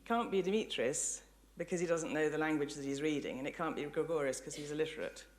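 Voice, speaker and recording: A woman lecturer speaks in a measured, articulate way. She explains ideas and tells illustrative stories as a professor would to the public. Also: sits low.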